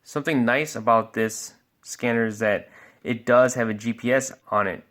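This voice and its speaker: Guy doing a casual product demo, average pitch, explains stuff step by step like a helpful sales rep. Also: fairly even intonation, normal speed, fairly bright tone. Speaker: product demonstrator and scanner sales expert. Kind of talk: casual product review and walkthrough.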